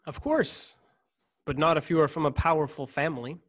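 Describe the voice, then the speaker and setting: A man's voice: on the high side, fairly even intonation, a bit bright. A man in his thirties playing a brooding, stiffly formal clone in a science-fiction radio play. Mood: confessing, intense. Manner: measured, stiffly formal diction. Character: brooding, formal young man, secret clone of noble family. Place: home room on video call. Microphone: desk condenser microphone, headset.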